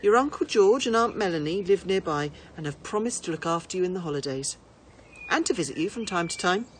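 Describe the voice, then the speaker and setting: A woman's voice: fairly low pitch, lively intonation, fairly quick, slight background noise, close to an everyday voice. A woman voicing a stern but reasoning father in a storybook, lecturing his son in a firm, formal way. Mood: firm, persuasive.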